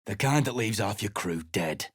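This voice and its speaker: An actor playing a surly, battle-worn mercenary adventurer in a video game, speaking in a gruff, blunt, dismissive way. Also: male voice, lowish voice, expressive, moderate pace, no echo, studio quality.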